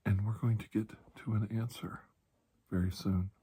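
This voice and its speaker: Hushed, husky, low-voiced male doctor, acted by a man. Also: level tone. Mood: soothing, reassuring. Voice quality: soft, breathy, husky, often near whisper. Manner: measured, halting, soothing delivery. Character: calm, reassuring male doctor treating a patient. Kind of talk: ASMR roleplay, hushed storytelling.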